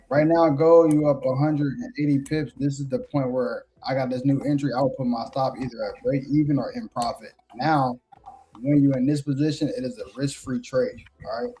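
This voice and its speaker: A man's voice: medium pitch, dark tone, rough recording. A man in his thirties hosting a live trading show, coaching viewers in a casual, slangy way. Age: 30s.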